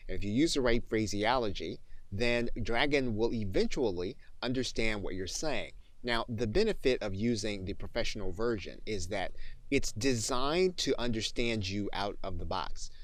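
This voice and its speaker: A man narrating an instructional software tutorial with methodical, deliberate phrasing. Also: on the low side, no echo. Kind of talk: tutorial narration with spoken dictation demonstration.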